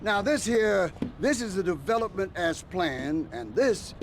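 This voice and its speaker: A man's voice: high voice, animated, a little slow, slight background noise. A man playing a sly, developer. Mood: scheming. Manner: wheedling, sly. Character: shady male property developer, folksy and scheming.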